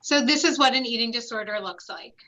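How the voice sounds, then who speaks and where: Bright-voiced woman presenting a webinar. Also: on the high side, a little fast, highs cut off. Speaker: clinical site director presenting a webinar.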